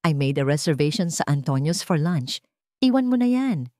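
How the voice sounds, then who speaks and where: A woman's voice: deep voice, big pitch swings, normal speed, darkish tone, no echo, clean studio sound. Spoiled, haughty rich Filipina voiced by a woman. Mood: disdainful. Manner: whiny, disdainful, mixes English and Tagalog.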